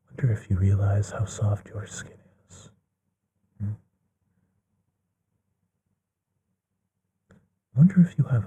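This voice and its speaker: A man playing a courtly, bookish, gently affectionate vampire in an intimate ASMR bedtime roleplay, musing in a breathy hush that often slips into a whisper. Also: on the low side, exaggerated voice. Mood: fond, musing. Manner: musing, genteel, unhurried with pauses.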